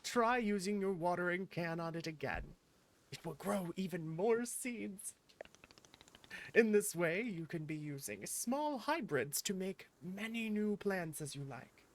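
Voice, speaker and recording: A woman voicing a gentle, patient garden keeper in a cozy video game, with courteous, slightly formal instructions. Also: fairly low pitch, expressive, unhurried, slight background noise. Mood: patient, encouraging. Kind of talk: reading cozy video game dialogue aloud.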